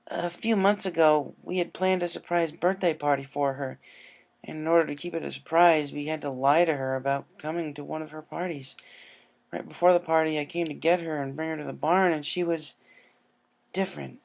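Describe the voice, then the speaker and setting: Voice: medium pitch, steady tone, fairly quick, vocal fry, voice pushed up, lightly acted. A narrator voicing an earnest, subdued young female pegasus pony who talks casually and hesitantly, in a story reading. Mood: troubled.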